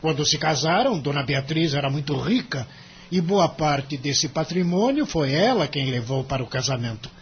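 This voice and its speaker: A Brazilian actor playing a cynical, money-minded senior lawyer in an audio drama, emphatic and condescending. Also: male voice, fairly high pitch, animated, normal speed, muffled recording, slight background noise. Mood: grave, gossipy. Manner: emphatic, condescending, theatrical delivery.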